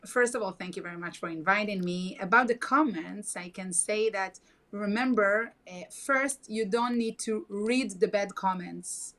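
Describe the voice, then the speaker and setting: A woman whose first language is Hebrew, speaking earnestly on a casual video chat in halting non-native English. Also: mid-range voice. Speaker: guest.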